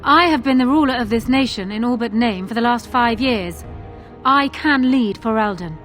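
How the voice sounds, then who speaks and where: A woman performs a self-assured fantasy-game queen with a high-pitched voice. She speaks in formal, assertive, regal phrases, proud and shrewd, with faint music behind her. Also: moderate pace, fairly bright tone, close to an everyday voice. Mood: scornful certainty. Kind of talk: video game voice acting.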